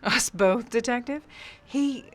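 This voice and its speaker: An actress playing a cool, mysterious femme fatale film star in a 1940s noir radio play, arch and poised. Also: female voice, on the high side, slow, noisy background. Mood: arch, teasing. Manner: arch, poised, coolly evasive.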